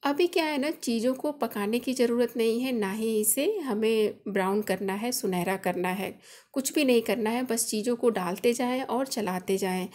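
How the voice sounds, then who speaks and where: A woman's voice: a little high, moderate pace, darkish tone. A woman hosting a cooking channel, explaining each step of a recipe in a chatty, instructive voice-over. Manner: friendly instructive, chatty home-cook explaining.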